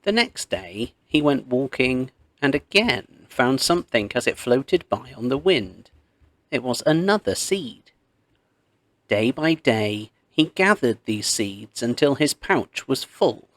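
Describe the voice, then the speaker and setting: A man reading a myth aloud as a storybook narrator, expressive and measured, with pauses. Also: medium pitch.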